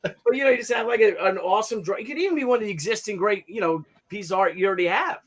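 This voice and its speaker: A man's voice: sits high, racing, a bit bright. A man hosting a livestream, chatting casually in an informal, anecdotal way. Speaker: show host.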